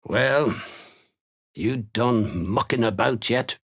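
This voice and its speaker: Menacing dwarf soldier, gravelly, slow, blunt, rustic phrasing, fantasy game villain. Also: male voice, mid-range voice, a bit bright, heavily acted. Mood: threatening. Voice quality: gruff, raspy. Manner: blunt, threatening, folksy rustic turns of speech. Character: menacing, gruff Duergar slave hunter, rough soldier. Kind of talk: video game character dialogue.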